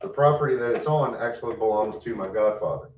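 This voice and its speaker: A man's voice: fairly low pitch, quick pace, recorded from a distance, a little hiss or hum. A man co-hosting a homestead livestream, casual and teasing. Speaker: homestead channel co-host. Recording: roomy, dull livestream audio.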